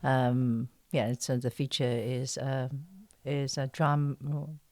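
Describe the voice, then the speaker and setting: A woman from China with a Chinese accent, chatting in an interview and often pausing to search for words. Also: extremely low pitch, level tone, a little mellow, no echo. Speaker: interview guest, actress and writer. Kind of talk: casual interview chat.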